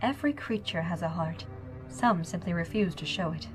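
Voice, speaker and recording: A woman acts out a regal cartoon pony princess for an audio drama. She is calm, stern and measured, pitched a little low, with music clearly playing behind her. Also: natural voice. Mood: grave, calm. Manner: measured, solemn.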